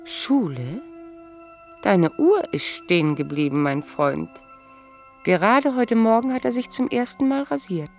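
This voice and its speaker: An actress playing a mature, serene wife who appears like a dream in a radio play, speaking in measured, poetic phrases. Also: female voice, medium pitch, sing-song, darkish tone, faint music behind. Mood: tender.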